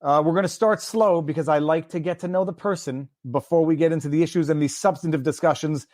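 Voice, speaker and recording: A man's voice: on the high side, fairly even intonation, quick pace, darkish tone, no room sound, studio quality. Chatty Quebec-raised man hosting a stream. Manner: chatty, rambling, asks many questions. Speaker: host. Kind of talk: livestream interview.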